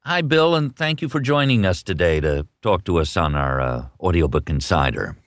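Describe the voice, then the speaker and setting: Very deep-voiced man, animated interviewer. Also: normal speed, no echo. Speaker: interviewer, audiobook narrator. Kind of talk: hosted author interview.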